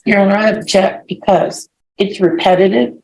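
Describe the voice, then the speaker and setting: Defense counsel, woman, relaxed creaky tone, formal objections and questioning. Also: medium pitch, normal speed, low-quality recording. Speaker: defense attorney. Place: courtroom.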